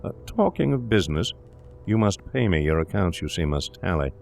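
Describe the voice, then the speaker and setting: A man's voice: sits low, big pitch swings, fairly quick, creaky, a little mellow, soft background music, heavily acted. A man voicing a stern, calculating young doctor and murderer in a gothic audio drama, speaking with controlled, menacing insistence. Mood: coolly insistent.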